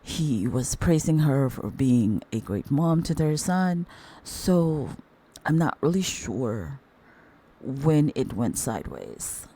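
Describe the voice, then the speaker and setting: Deep hoarse woman chatting slowly. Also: very animated, warm tone. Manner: chatty, addresses viewers as darlings. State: hoarse from the flu. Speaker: commentary channel host. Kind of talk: casual commentary chat.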